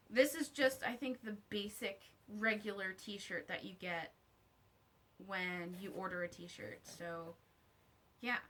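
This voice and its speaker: A woman's voice: mid-range voice, a bit bright. A woman vlogger giving a casual, unscripted review to camera, candid and wry, with conversational asides.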